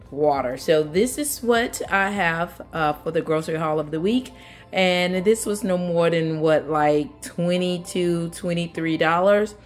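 Woman, deep voice, casual chatty vlogger, home kitchen, soft music behind. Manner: relaxed, chatty, frequent fillers. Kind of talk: casual grocery haul chat.

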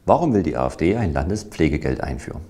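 A man's voice: extremely low pitch, sing-song, fast talker, warm tone. A man reading scripted interview questions as an interviewer on a news program.

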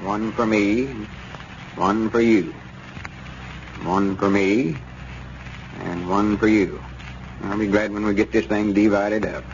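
An actor playing a stubborn old storekeeper with an in a folksy old radio comedy, deliberate and grumbling. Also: male voice, on the low side, steady tone. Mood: deliberate, counting. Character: stubborn old country storekeeper man, exasperated but patient. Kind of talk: 1930s-40s radio comedy acting. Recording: muffled, noisy old radio recording.

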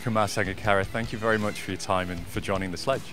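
Low-voiced male sports presenter. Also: fairly even intonation, quick pace. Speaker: interviewer, presenter. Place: outdoors at a sports field. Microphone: handheld microphone. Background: faint music.

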